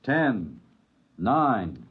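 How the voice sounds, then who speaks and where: Husky, brisk radio space navigator. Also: male voice, on the low side, huge pitch swings, heavily acted. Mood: crisp, counting. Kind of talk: mid-century radio drama acting. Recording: dull vintage radio broadcast recording.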